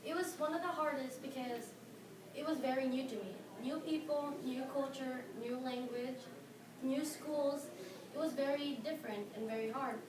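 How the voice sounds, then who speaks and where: High-voiced Filipino teen girl speaking earnestly. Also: a crowd in the background. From Philippines. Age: teens. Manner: earnest, halting with fillers, stressing key words. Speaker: high school student speaker. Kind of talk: prepared competition speech. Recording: echoey room, mic at a distance, noisy.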